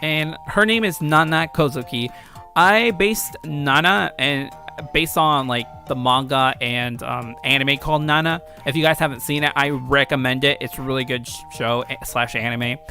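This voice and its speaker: A man's voice: mid-range voice, moderate pace, pushed and bright, soft background music. A man giving casual commentary on his own game as its creator, informal and rambling, often correcting himself. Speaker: game creator and video host.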